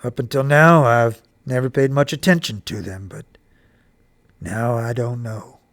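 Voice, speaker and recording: Narrator acting country father, low pitch, solemn, thoughtful, devout, storybook. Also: fairly even intonation, a little slow, no room sound, natural voice. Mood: solemn, reflective.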